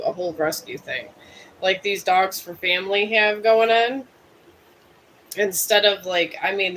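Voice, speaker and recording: A man in his fifties from Ohio, chatting casually with viewers on a live stream in a rambling, musing, self-deprecating way, a bit high. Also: bright, forceful tone, slight background noise, rough recording. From Cleveland, Ohio. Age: 50s.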